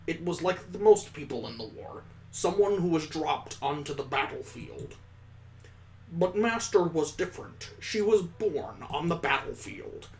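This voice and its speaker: A man voicing a courteous, formal elderly elf mentor in a visual novel read-aloud, speaking in a stately, deliberate way. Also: a little high, expressive, low-quality recording. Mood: solemn.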